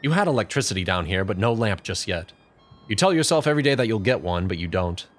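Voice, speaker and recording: A man's voice: on the low side, lively intonation, fast talker. A man reading scripted second-person story narration as the narrator of a fan fiction drama.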